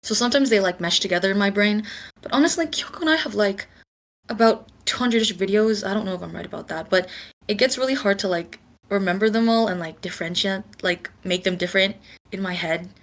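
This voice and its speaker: A woman's voice: medium pitch, fast. A woman on a podcast panel chatting casually and tentatively, thinking aloud as she answers. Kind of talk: casual chat.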